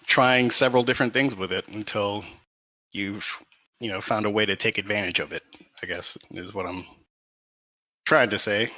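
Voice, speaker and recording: A man's voice: medium pitch, bright, forceful tone, dry recording, rough recording. A man of about thirty, a podcast guest, talking casually in a modest, hesitant way. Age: 30s. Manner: modest, hesitant, many restarts. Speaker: guest gamer. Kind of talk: casual chat.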